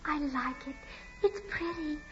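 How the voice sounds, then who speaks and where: A woman's voice: high voice, fairly even intonation, measured pace, faint music behind. A woman playing a dreamy, plaintive little girl who is easily frightened, in 1940s radio drama style. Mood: dreamy, entranced. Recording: muffled old radio broadcast.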